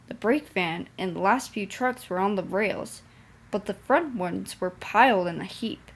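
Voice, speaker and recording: Young woman, storybook narrator, scripted reading, slow pace, husky tone, medium pitch. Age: young adult.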